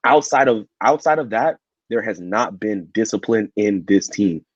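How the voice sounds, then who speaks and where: A man's voice: lowish voice, animated, moderate pace, slightly rough, no echo. A man co-hosting a casual football podcast debate, blunt and emphatic, often repeating words as he drives his point home. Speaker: podcast co-host. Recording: dull, muffled audio.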